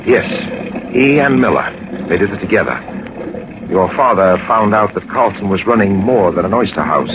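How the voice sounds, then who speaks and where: An old, noisy radio recording features a gentleman detective. He is low-pitched, assured and commanding, laying things out with authority in 1940s radio drama style. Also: lively intonation, moderate pace, muffled recording. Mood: calm, explanatory.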